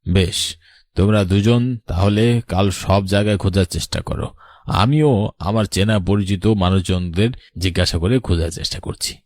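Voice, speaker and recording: A man voicing a grave, knowing older village man in a horror cartoon, solemn and measured like a storyteller. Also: sits low, a little mellow, no echo, studio quality, natural voice. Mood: firm, serious.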